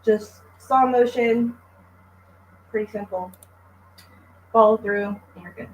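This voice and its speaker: Slow, calm young woman cooking. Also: on the high side, dull audio. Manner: calm, step-by-step explaining. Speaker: home cook demonstrating a recipe. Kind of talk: cooking demonstration. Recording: echoey kitchen with faint noise.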